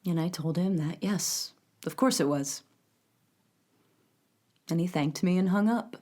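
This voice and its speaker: A distinctly low-pitched woman, an actress as a composed official in an audio drama. She answers like an interviewee, measured and matter-of-fact. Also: dark tone, close to an everyday voice. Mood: composed, reflective. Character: composed female official giving a sober interview.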